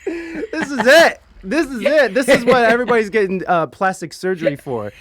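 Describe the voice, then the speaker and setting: A man's voice: extremely high pitch, animated, moderate pace, a crowd in the background. A man hosting a livestreamed talk show, joking with his guest and talking to the chat. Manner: joking, playful, reads chat aloud. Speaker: host of a streamed talk show. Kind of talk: casual livestream interview.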